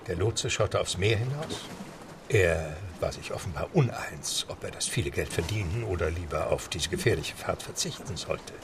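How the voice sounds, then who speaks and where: A man narrating a radio play with a rather low voice. His storytelling is steady and measured, with background noise audible under the narration. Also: gravelly.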